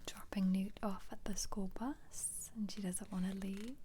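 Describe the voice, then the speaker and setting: A woman speaking in a breathy, unvoiced whisper close to the mic, gently musing in a casual ASMR commentary. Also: medium pitch, steady tone, measured pace, slight background noise. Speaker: ASMR video creator.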